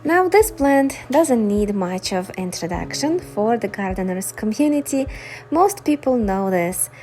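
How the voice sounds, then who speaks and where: Female gardening vlogger, native Ukrainian speaker with Ukrainian accent, unhurried delivery, enthusiastic, faint music underneath. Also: mid-range voice, animated, a little mellow. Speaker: gardening video host. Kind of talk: informal explanatory vlog narration.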